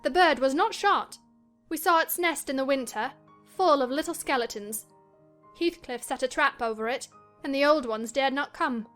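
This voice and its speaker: A woman playing a feverish, delirious young gentlewoman in a dramatized classic novel reading, theatrical and shifting between dreamy murmurs and anxious outbursts. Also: sits high, normal speed, faint music behind. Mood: dreamy, wandering.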